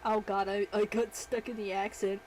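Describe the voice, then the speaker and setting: A woman's voice: medium pitch, little pitch movement, moderate pace, a little mellow, a little hiss or hum, poor audio. A woman streamer chatting casually while she works, rambling and self-deprecating. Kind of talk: casual livestream chat while working.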